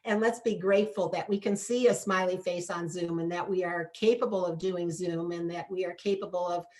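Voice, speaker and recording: A woman's voice: fairly low pitch, steady tone, a little fast, a little mellow. A woman of seventy-nine speaking in a heartfelt, conversational way in an interview.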